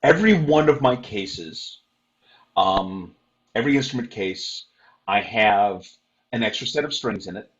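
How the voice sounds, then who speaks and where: Loud older man, lo-fi call audio. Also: fairly low pitch, lively intonation, a little slow. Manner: loud, practical advice with many fillers and restarts. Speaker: panelist, performing musician. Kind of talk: online convention panel talk.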